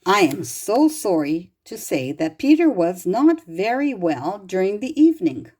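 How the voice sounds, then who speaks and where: A woman reading a children's storybook aloud in an expressive, gentle and deliberate manner, as if to young listeners. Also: mid-range voice. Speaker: storybook reader.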